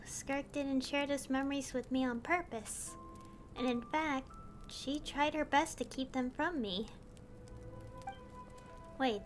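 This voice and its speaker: An actress playing a curious, thoughtful young female traveler who asks questions and muses to herself. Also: high voice. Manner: thoughtful, inquisitive.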